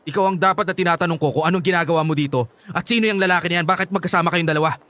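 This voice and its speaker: Upset young filipino son in a radio drama, highish voice, wounded and confrontational. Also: male voice, very fast, pushed and bright. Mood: angry, accusing.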